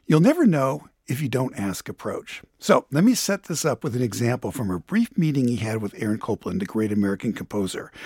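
A man with a mid-pitched, husky voice and a very animated melody presents a radio feature. He explains things emphatically and conversationally, as a reporter, in a dry, close studio sound. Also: no echo.

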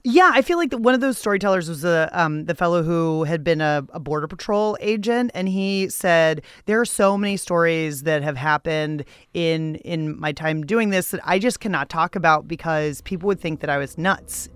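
A woman hosting a podcast in a playful, informal, animated way, moving between chatty scripted narration and casual interviewing. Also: fairly low pitch, normal speed, few pauses, clear, resonant tone, no room sound, studio quality.

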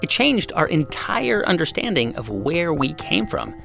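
Lively, gravelly-voiced male podcast host. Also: a little high, a little slow, faint music behind. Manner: lively, explanatory storytelling. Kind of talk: scripted narration and interview.

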